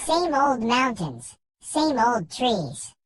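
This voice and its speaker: Woman acting a high-voiced mischievous young shepherd boy. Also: sing-song, extremely slow, dry recording, full character voice, poor audio. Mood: bored. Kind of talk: children's storybook acting.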